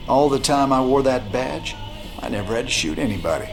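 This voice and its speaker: A man's voice: mid-range voice, lively intonation, fairly quick, creaky, music in the background. An actor playing a weary, tough male rebel commander in a game cutscene, talking in a laconic, dry drawl. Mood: grim. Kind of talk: video game cutscene acting.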